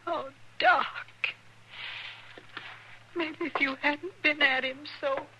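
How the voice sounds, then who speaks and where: An anguished frontier mother in an old radio western. Her voice is high and piercingly bright, with rustic grammar and a pleading, bitter edge. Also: steady tone, slow pace, a little hiss or hum, full character voice, low-quality recording. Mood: bitter grief. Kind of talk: 1950s radio western acting.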